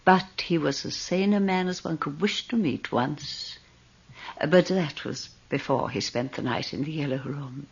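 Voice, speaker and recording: A woman playing an elderly, wealthy, self-serving widow in a radio drama, speaking in a genteel, deliberate, insinuating manner with a husky voice. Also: sits low, exaggerated voice. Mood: eerie, grave. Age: older. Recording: dull lo-fi radio recording.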